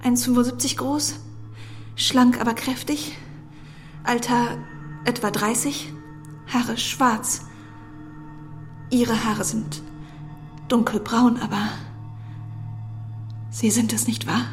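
A woman's voice: fairly high pitch, steady tone, slow, pauses often, faint music behind. An actress in a German radio drama playing an anxious, devoted young woman in love, hesitant and pleading yet persistent. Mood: earnest, insistent.

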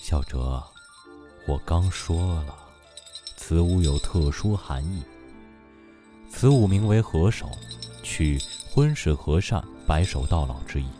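A man playing a calm, gentle and patient mountain god in an audio drama, speaking in a measured, courteous way. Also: sits low, music in the background. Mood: tender, patient. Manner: measured, courteous, softly indulgent. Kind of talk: Chinese audio drama acting.

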